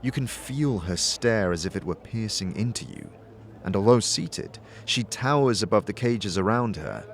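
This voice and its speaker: A man's voice: fairly low pitch, lively intonation, normal speed, a little hiss or hum. A man narrating a scripted second-person story for an audio drama.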